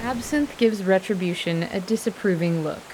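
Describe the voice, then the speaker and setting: A woman narrating scripted fantasy in a measured, literary storytelling manner. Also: medium pitch, darkish tone, slight background noise, poor audio.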